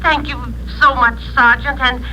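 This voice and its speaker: A woman's voice: sits high, fairly even intonation, unhurried, pushed and bright, faint music behind, heavily acted. A woman playing an anxious, polite middle-aged mother in an old radio drama, stammering and apologetic on a phone call. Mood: worried. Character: anxious, polite small-town mother phoning about missing daughter. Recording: old radio, noisy telephone-like line.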